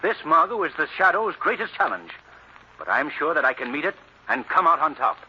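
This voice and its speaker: A man's voice: fairly high pitch, big pitch swings, brisk, pushed and bright, slightly rough, heavily acted. An actor playing an earnest, resolute male crime fighter in a 1940s-style radio drama, with an emphatic, dramatic delivery. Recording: noisy, dull old radio recording.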